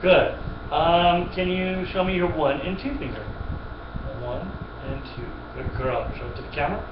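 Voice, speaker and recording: A man's voice: on the high side, moderate pace, noisy background. A man teaching a child piano, patient and encouraging, repeating points and praising often. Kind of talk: one-on-one children's music lesson. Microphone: webcam from a distance. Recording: echoey room, distant dull webcam mic, music.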